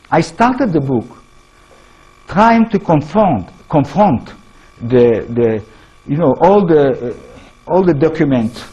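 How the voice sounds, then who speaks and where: A man's voice: medium pitch, warm tone, breathy edge, rough recording. A man with an Israeli accent, an author lecturing an audience in broken English, correcting himself as he goes.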